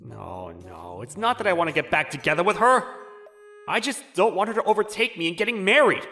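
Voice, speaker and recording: A man's voice: high-pitched, very animated, brisk, bright, forceful tone, heavily acted. A man playing a flustered, jilted bachelor who narrates his awkward romance with dramatic, exasperated inner monologue in a manga dub. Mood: panicked. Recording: background music under the voice.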